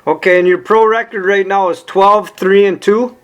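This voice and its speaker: A man hosts an interview show, and his voice is pitched noticeably high for a man. He asks his guest direct, conversational questions and checks her facts out loud. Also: steady tone, normal speed, clean tone.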